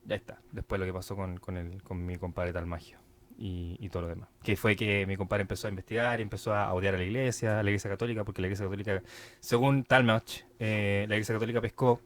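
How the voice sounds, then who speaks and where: A man's voice: on the low side, steady tone, fast. A young man with a Chilean accent co-hosting a podcast, talking casually and loosely with slang and lots of fillers. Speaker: podcast co-host.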